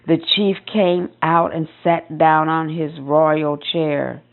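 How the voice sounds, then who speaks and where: A woman reading a children's picture book aloud in an expressive storytelling style, with emphasis on repeated phrases. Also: low-pitched, slow pace, creaky, narrow, tinny audio. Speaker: storybook reader.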